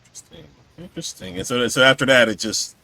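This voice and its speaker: Man interviewing casually, very varied pitch. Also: expressive, fast, pushed and bright, a little hiss or hum. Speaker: podcast host, interviewer.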